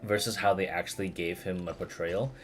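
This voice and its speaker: Low-voiced man rambling on a stream. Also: steady tone, fairly bright tone, soft background music. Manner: rambling, halting, searching for words. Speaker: game streamer. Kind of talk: casual livestream commentary, replying to chat.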